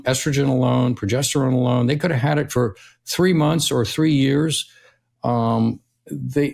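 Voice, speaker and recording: A middle-aged male physician being interviewed, explaining health topics at length and often checking in with "right?". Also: medium pitch. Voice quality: gravelly, husky. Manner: steady explainer who often tags "right?". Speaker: longevity physician guest. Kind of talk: conversational explaining.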